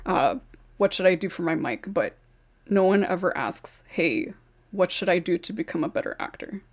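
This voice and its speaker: A woman's voice: on the low side, lively intonation, normal speed. A woman co-hosting a podcast, talking bluntly and emphatically in a casual group chat over a video call. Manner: blunt, emphatic, repeats points. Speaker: podcast co-host.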